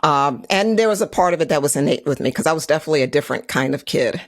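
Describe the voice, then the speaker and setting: A woman's voice: low voice, lively intonation, very fast, no echo, clean studio sound. A woman from Trinidad and Tobago, a guest on a podcast, reflecting on her upbringing and laughing easily. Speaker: guest, financial advisor. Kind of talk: conversational interview answers.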